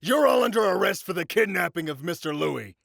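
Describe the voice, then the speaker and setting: Man voicing a high, gravelly, hot-headed cop. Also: animated, brisk, heavily acted. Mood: furious, commanding. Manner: shouting, commanding. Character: hot-headed police officer barking orders. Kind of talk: dramatic fan dub.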